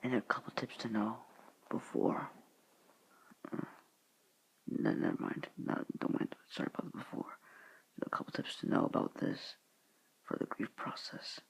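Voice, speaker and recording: A teenage boy talking to his viewers in a soft, hushed, halting whisper, earnest and sorrowful in tone. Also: medium pitch, steady tone, creaky, breathy edge, low-quality recording. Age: teens. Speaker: student vlogger. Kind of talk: whispered somber address to viewers.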